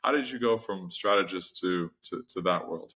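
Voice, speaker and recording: A male podcast host with a very deep voice asks long, measured questions. He sometimes repeats words while he works out a question. The delivery is calm and conversational. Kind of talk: podcast interview.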